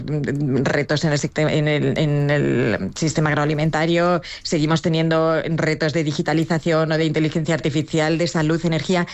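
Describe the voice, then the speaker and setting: A woman guest speaks in an interview in a low voice. She answers reflectively, hesitating and adding tag questions as she builds her points. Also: a little mellow. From Castilla-La Mancha, Spain. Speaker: guest, foundation director.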